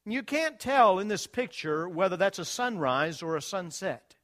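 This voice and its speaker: A man's voice: sits high, sing-song, normal speed, a bit bright. A man from Virginia, a missionary, thanking supporters in a friendly, lightly joking church talk while showing slides. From Virginia, USA.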